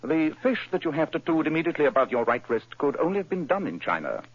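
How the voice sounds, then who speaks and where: A cool, brilliant detective who lectures in a dry, precise voice in a vintage radio play. His pitch sits fairly high and moves a lot, with a husky grain. The recording is dull and lo-fi. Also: very animated, quick pace, no echo. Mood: deducing. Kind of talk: old-time radio drama.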